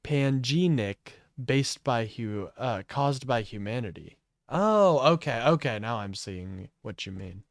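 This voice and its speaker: A man talking casually on a livestream, blunt and sarcastic, swearing freely. His pitch swings a lot as he reacts, and the sound is close and dry with no room echo. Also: lively intonation, measured pace. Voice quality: says his voice is high-pitched. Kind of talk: casual political commentary.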